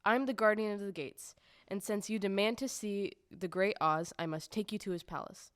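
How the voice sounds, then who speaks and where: An officious little gatekeeper of a magical city, played by a woman in an audio play. The speech is formal, ceremonious and emphatic, at a middling pitch in a dry recording. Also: steady tone, normal speed, dry recording, lightly acted. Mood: proud, ceremonious. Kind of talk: stage-style audio drama acting.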